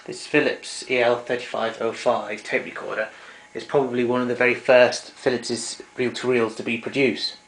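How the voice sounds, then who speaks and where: A man giving an informal technical demonstration in a hesitant, halting way, as a hobbyist showing equipment. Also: medium pitch, flat delivery, vocal fry, a bit bright, poor audio. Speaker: vintage tape recorder enthusiast demonstrating equipment. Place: indoors, small domestic room.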